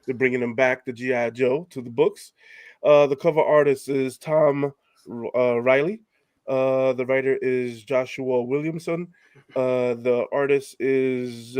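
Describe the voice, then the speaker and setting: A man's voice: medium pitch, a little mellow, no echo. Stuffed-up man hosting a podcast. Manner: laughing, casual, profane. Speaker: podcast host. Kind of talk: casual podcast chat.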